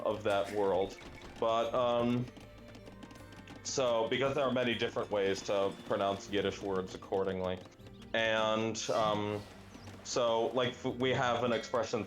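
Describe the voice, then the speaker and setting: A young adult man chatting casually while playing a game, musing aloud and often pausing with fillers and restarts. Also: lowish voice. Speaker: language gaming guest. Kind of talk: casual chat while gaming.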